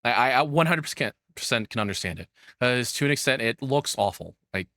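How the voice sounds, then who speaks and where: A man's voice: mid-range voice, expressive, normal speed, bright, forceful tone, dry recording. A man giving casual, opinionated commentary, rambling and swearing bluntly as he goes. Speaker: streamer reacting to a video.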